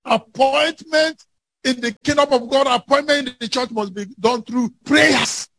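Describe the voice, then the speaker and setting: A man preaching a fervent sermon with scripture readings, emphatic and declamatory, often raising his voice to a shout. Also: extremely high pitch, measured pace, slight background noise.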